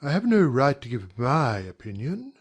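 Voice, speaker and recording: A man playing a courteous, guarded young English gentleman in a dramatized audiobook, speaking in measured, formal phrases as if confiding. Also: medium pitch, big pitch swings, slightly rough.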